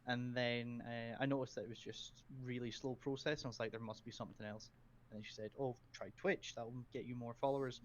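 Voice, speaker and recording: A man's voice: mid-range voice, fairly even intonation, a little fast, dull audio, a little hiss or hum. A Scottish man chatting as a podcast guest, rambling through stories with lots of ums and giggles. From Scotland. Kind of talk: casual chat, anecdotes.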